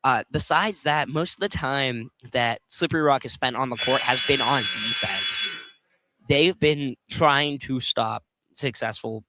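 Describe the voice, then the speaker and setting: A man provides color commentary for a live basketball broadcast. His voice is bright and forceful, and he talks conversationally, often rephrasing mid-thought, with faint noise behind him. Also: medium pitch, unhurried. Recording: slight background noise.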